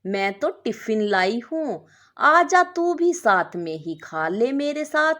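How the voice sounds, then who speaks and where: A woman storyteller voicing a modest, contented young working wife, speaking gently and earnestly. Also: a little high, very animated, takes it slowly, exaggerated voice. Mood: cheerful.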